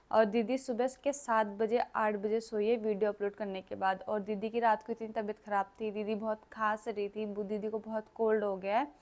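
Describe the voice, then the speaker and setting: Woman with a highish voice, casual vlog update. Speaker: vlogger giving an update to viewers.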